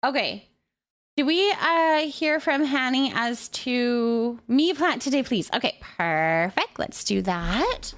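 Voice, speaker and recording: A woman with a fairly high voice talks casually as a live streamer. She is expressive and informal, responding to her viewers in a sympathetic, conversational way. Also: slow. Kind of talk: casual chat with live stream viewers, reading and answering comments.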